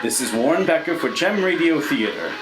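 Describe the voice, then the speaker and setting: A man's voice: on the high side, sing-song, brisk, music in the background. A man announcing for a live radio theatre in a scripted presenter's style. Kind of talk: scripted radio show sign-off. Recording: roomy live stage recording.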